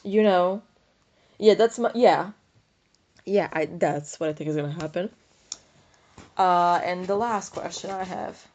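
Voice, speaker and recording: Casual, opinionated woman with a lowish voice. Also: measured pace, long pauses, darkish tone, muffled recording. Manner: rambling, opinionated, thinking aloud; informal, slangy, freely swearing. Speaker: book review podcast host. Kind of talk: casual unscripted book discussion.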